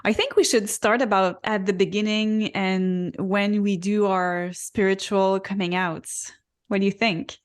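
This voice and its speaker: A woman in her forties co-hosts a casual spiritual podcast. She speaks at an unhurried, deliberate pace, with frequent pauses and ums as she recalls her story. Her pitch sits in an ordinary middle range. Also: steady tone. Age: 40s. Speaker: podcast co-host, Akashic reader.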